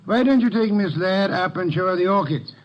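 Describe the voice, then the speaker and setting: An actor playing a pompous, shrewd detective with precise, formal speech in 1950s radio drama style. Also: male voice, high voice, fairly quick, close to an everyday voice. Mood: haughty. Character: pompous, shrewd, immovable male detective genius. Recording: dull vintage radio recording.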